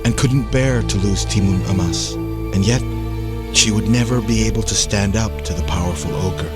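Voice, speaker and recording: A man narrating a scripted folktale in a dramatic, expressive storytelling manner. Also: lowish voice, moderate pace. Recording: loud music behind the voice. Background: music.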